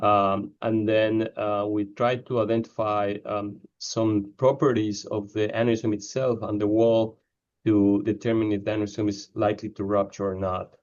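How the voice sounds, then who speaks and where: A man from Ecuador answering interview questions about his research, often pausing with fillers. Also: fairly low pitch, level tone, darkish tone, dull audio. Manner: hesitant, many fillers. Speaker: neurosurgeon researcher.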